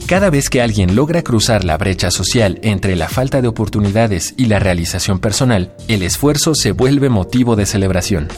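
Guy narrating a radio piece, low voice, thoughtful, faint music. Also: animated, moderate pace, few pauses, a little mellow. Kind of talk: scripted narration.

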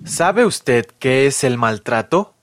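A man reporting and asking interview questions in a crisp, neutral tone. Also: medium pitch, expressive, slow pace, dry recording.

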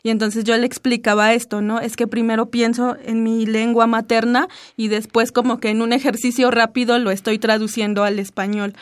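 A woman whose first language is Zapotec, speaking calmly and thoughtfully as a radio guest. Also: fairly high pitch, moderate pace, hardly any pauses, clean tone, no echo, clean studio sound. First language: Isthmus Zapotec. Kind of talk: radio interview.

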